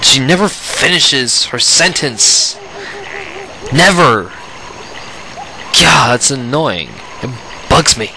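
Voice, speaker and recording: A man's voice: mid-range voice, animated, takes it slowly, long pauses, sharp tone, rough recording. A man giving casual let's play commentary, rambling and often restarting his phrases, with game music playing behind him.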